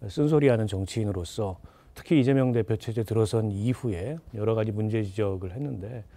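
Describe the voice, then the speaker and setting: A male political commentator on a TV panel. He speaks quickly in a dark, mellow voice, laying out his reasoning in a measured, explanatory manner. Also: on the low side.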